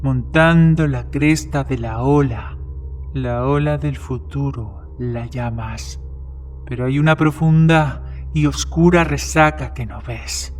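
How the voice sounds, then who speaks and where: Man acting a slow, ominous young stranger. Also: medium pitch, darkish tone, lightly acted. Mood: ominous, taunting. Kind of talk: dramatized short-story reading. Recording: music behind the voice.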